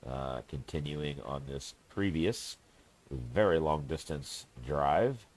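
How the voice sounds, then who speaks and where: A man's voice: extremely low pitch, expressive, slow pace. A man with a stuffed-up, congested voice giving rambling, casual commentary as he drives, swearing under his breath now and then. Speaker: truck-sim gameplay commentator.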